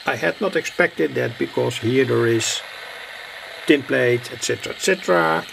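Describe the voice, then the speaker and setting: A man giving an unscripted hands-on demonstration as a hobbyist, speaking haltingly in short phrases. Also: mid-range voice, vocal fry, fairly bright tone, a little hiss or hum. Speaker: hobbyist radio builder presenting. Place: indoor home workbench. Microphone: handheld camera's built-in microphone. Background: shortwave radio playing.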